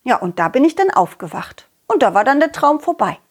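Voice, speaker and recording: A woman voicing a confident, loyal, cheerful dog who tells stories to children in an excitable, childlike way, as in an audio play. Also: high-pitched, brisk, husky, exaggerated voice. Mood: cheerful, excited. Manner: excitable, childlike, speaking directly to child listeners. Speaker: puppeteer and storyteller.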